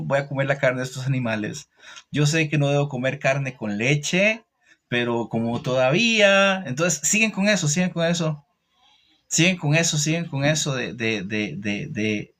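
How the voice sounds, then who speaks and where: A man with a Costa Rican Spanish accent giving an informal, didactic religious talk, opinionated, pausing and repeating phrases as he goes. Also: mid-range voice, fairly bright tone. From Costa Rica. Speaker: religious teacher hosting a livestream. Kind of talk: informal religious talk answering live comments.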